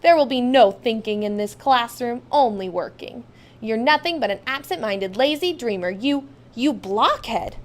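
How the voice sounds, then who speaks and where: A woman plays a stern storybook schoolmaster, male and easily outraged. The voice is fairly high, scornful and emphatic, scolding with clear indignation. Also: lively intonation, moderate pace, close to an everyday voice. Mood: indignant scolding.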